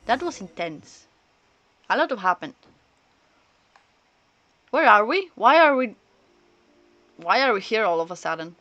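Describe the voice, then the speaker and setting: A woman's voice: medium pitch, very animated, measured pace, pauses often, sharp tone, no echo, lo-fi sound, soft background music. Woman streamer chatting, close dry sound. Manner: rambling, thinking aloud. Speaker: video game livestreamer. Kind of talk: casual chat and reactions while playing.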